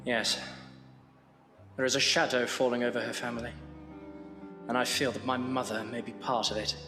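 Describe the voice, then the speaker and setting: A man plays a troubled, earnest gentleman of the Victorian age in an audio drama. His mid-pitched voice rings in long reverb, with music underneath. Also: little pitch movement, moderate pace, close to an everyday voice. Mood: wary, grave. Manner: grave, earnest.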